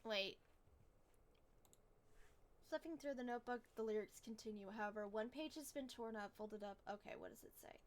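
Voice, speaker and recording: A woman's voice: on the high side, normal speed, pauses often, a little hiss or hum. A woman game streamer reading story text aloud and reacting casually on a live stream.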